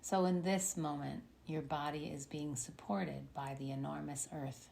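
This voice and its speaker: A woman leading a guided meditation in a soothing, unhurried manner with long pauses. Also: deep voice, steady tone, gravelly, a little mellow. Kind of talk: guided meditation, body scan. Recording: faint background noise.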